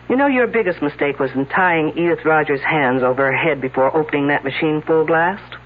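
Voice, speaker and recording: An actress playing a confident, wisecracking female detective in a radio mystery, needling the suspects in a sardonic way. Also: low voice. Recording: tinny old radio broadcast.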